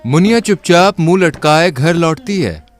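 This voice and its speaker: A man narrating a scripted moral cartoon story in a steady storytelling manner. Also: on the high side, moderate pace, soft background music.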